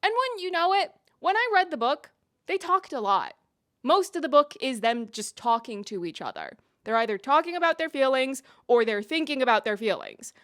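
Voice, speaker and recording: A woman's voice: high-pitched, sing-song, moderate pace, a bit bright, no room sound, studio quality. A woman giving candid, emphatic, opinionated scripted commentary to viewers as a reviewer. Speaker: video essayist and TV reviewer.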